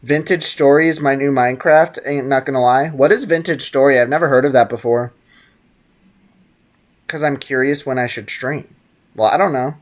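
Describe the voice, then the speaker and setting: A man live streaming a video game, chatting casually and offhandedly with viewers and joking in slang. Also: mid-range voice. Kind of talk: casual live stream chat and gameplay commentary, reading out chat. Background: game music.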